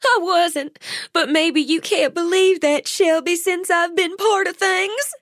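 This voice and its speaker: Brief: narrator playing a weepy, high-pitched young woman who babbles through tears. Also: fairly even intonation, slow, a bit bright, dry recording, clean studio sound, full character voice. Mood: tearful. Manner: shrill, sobbing, gushing.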